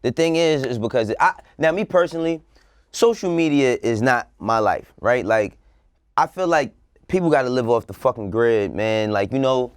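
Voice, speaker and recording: Blunt slangy man, mid-pitched and dry. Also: lively intonation, normal speed, clear, resonant tone, no echo. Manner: blunt, slangy, profane, repeats himself for emphasis. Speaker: show co-host giving opinions. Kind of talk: casual panel talk.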